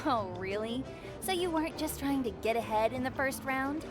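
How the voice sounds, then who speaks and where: A woman's voice: high voice, lively intonation, moderate pace, music in the background. A woman voicing a small, plucky and earnest young girl warrior in a video game. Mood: suspicious.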